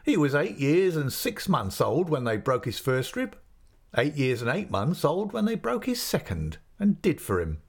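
A man's voice: on the high side, wildly swooping pitch, normal speed, slightly rough, pitched above the performer's own voice, full character voice. A man putting on a sly, gabbing inn waiter. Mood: gloomy relish. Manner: garrulous, wheedling, mock-dismayed. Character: chatty, greedy, sly male inn waiter.

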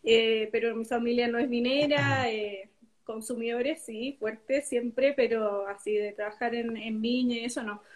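A woman's voice: a little high, steady tone, a little slow. A woman from southern Chile with a Chilean accent, chatting informally and self-deprecatingly as an interview guest on a video call. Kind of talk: casual chat. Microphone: tablet on a video call.

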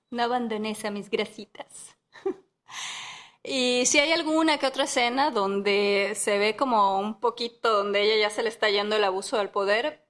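A woman's voice: mid-range voice, fairly bright tone. A woman from Mexico, co-hosting a casual book podcast, laughing often and reading quotes aloud. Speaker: podcast co-host.

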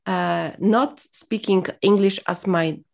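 A woman's voice: mid-range voice, slow, gravelly. A woman whose first language is Polish, speaking as an interview guest in a reflective, motivational way, hesitant and full of fillers as she searches for words.

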